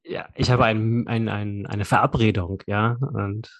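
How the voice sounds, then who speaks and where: A woman's voice: a bass voice, steady tone, a little slow, no room sound. A woman, a native German speaker, chatting casually as an interviewer. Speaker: interviewer and conversation partner. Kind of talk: casual bilingual chat.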